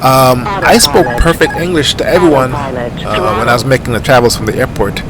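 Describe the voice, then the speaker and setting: A man's voice: normal speed, over loud music, rough recording. A middle-aged man from Jamaica with a light Jamaican accent, chatting casually and rambling with plenty of fillers while flying a simulator. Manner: rambling, easygoing, many fillers. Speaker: flight sim streamer. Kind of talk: casual gameplay commentary. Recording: steady aircraft engine rumble behind.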